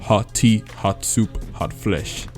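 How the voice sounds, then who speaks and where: A man voicing a big, simple, creepy gray male monster who speaks in broken, halting English. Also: lowish voice, soft background music. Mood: blunt. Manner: broken halting English, short flat sentences.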